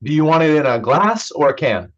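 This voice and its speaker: A man's voice: a little high, sing-song, brisk, a bit bright. A man acts out an evasive young man in a stage play, a joking brother covering for a secret drinking habit. The delivery is naturalistic and conversational, and the sound is dull and lo-fi, as if over a video call. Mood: casual, joking. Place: remote online staged reading.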